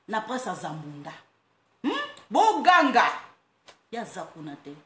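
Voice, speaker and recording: Animated woman in an echoey room. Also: medium pitch, a little slow. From France. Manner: animated, laughing, breaking into playful chants. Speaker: online talk host. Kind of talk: casual monologue, mixing Lingala and French. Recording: roomy echo, faint background noise.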